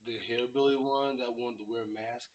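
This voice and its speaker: A man's voice: mid-range voice, fairly even intonation, normal speed, a little mellow, muffled recording, close to an everyday voice. A man acting a wary, wry young man in a horror script table read.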